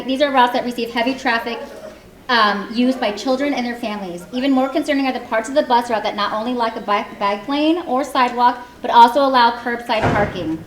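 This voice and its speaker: Fast-talking woman at a public meeting. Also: on the high side. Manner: fast, earnest, reads prepared remarks; loud voice. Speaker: public commenter. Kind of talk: prepared public comment. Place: community meeting hall. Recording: echoey hall, faint room noise.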